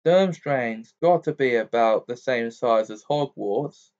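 A teenage schoolboy from a storybook, acted by a man. He sounds blunt and grumbly, with an everyday middling pitch. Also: slow pace, a little mellow, lo-fi sound. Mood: scoffing. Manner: blunt, grumpy.

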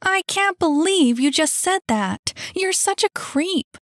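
A woman voicing a feisty, indignant girl in a storybook, high-pitched, sharp and defiant, quick to challenge and exclaim. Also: expressive, no room sound, clean studio sound, exaggerated voice.

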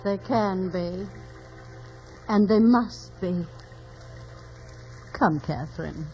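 A woman's voice: mid-range voice, very slow, loud music behind the voice. An actress playing a sensible, caring female confidante in old radio drama, earnest and admonishing. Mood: firm, consoling. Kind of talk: 1940s radio drama acting. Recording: dull old radio recording, faint noise.

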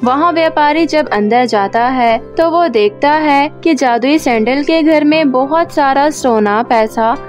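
High-pitched woman storyteller. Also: a little slow, hardly any pauses. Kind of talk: scripted story narration. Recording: music under the voice.

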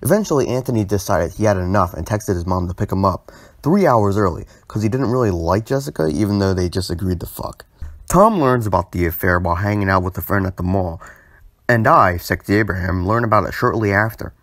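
Young guy narrating storytime stuff, lowish voice, kinda sardonic, dry close recording. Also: lively intonation, brisk, fairly bright tone, dry recording. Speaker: storytime video narrator and host. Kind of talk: informal scripted storytelling.

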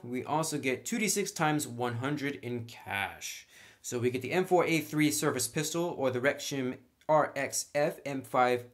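Male tutorial host, mid-pitched, casual walkthrough delivery, thinks aloud, informal. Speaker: host of a tabletop roleplaying tutorial channel.

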